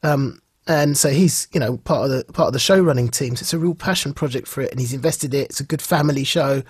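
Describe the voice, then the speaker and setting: A man co-hosting a podcast in a casual, enthusiastic way, telling stories in an animated manner and acting out bits of dialogue. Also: medium pitch, fast talker, breathy edge, no room sound, clean studio sound. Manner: animated storytelling, acts out reported dialogue. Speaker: podcast co-host.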